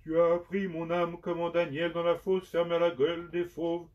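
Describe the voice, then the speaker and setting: A man giving a slow, solemn liturgical recitation of penitential prayers in measured, prayerful phrases. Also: fairly high pitch, monotone. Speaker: liturgical reader. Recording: dull sound from far off the mic.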